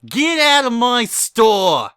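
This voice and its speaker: A man's voice: very high voice, huge pitch swings, slow pace, pushed and bright, smooth, no echo, clean studio sound, pitched above the performer's own voice, heavily acted. A man voicing a distraught, angry young female pony candy maker in an emotional, indignant storybook reading. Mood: shrieking fury.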